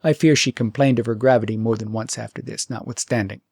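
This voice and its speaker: A man narrating a fairytale audiobook in an expressive, deliberate storytelling manner. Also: mid-range voice, creaky, slightly rough, dry recording, clean studio sound.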